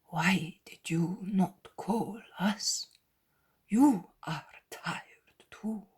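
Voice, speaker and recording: A woman's voice: on the low side, extremely slow, breathy edge, full character voice. A woman reading the lines of a devoted male disciple, pleading in a whisper. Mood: anxious.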